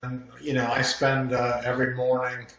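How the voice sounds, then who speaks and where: Echoey middle-aged man chatting haltingly. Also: medium pitch, completely flat delivery, fairly bright tone. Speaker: author, podcast guest. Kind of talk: casual interview chat. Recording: very echoey, muffled, faintly noisy room audio.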